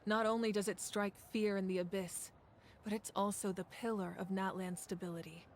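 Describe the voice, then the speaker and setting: A woman playing a proud fiery ruler, calm, steady, resolute, mid-pitched game voice. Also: moderate pace, a little hiss or hum, lightly acted. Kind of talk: video game voice acting.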